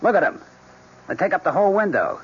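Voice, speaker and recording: An actor playing a gruff, dismissive husband, blunt and impatient, in a 1970s radio drama. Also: male voice, high voice, very animated, quick pace, heavily acted. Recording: dull 1970s radio broadcast with faint hiss.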